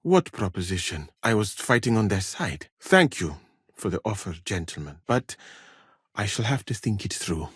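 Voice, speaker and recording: Learned, husky-voiced scholar-physician. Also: male voice, lowish voice, sing-song, no room sound, studio quality. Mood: measured, thoughtful. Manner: measured, formal, eloquent phrasing. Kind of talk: video game voice acting.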